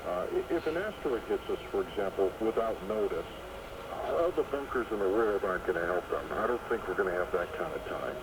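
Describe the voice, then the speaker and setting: A man hosting a call-in radio show, talking in a measured, conversational way. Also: deep voice, lively intonation. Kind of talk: late-night call-in talk. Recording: shortwave radio broadcast with heavy static.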